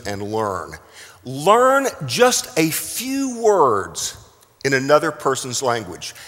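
A middle-aged American man from South Texas preaching a sermon deliberately, with dramatic emphasis and pauses. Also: medium pitch, fairly bright tone. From South Texas, United States. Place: large reverberant room, speaking to a congregation. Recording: echoing hall with long reverb.

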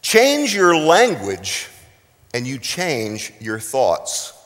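A middle-aged American man from South Texas preaching a sermon deliberately, with dramatic emphasis and pauses. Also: medium pitch, huge pitch swings, fairly bright tone. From South Texas, United States. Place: large reverberant room, speaking to a congregation. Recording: echoing hall with long reverb.

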